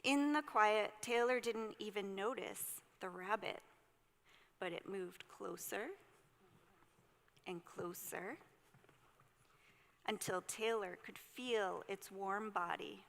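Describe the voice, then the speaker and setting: A woman reading a children's story aloud expressively and deliberately, with gentle delivery and dramatic pauses. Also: mid-range voice, vocal fry. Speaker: storyteller at a church service. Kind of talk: expressive read-aloud of a children's picture book. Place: church sanctuary. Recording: hall reverb.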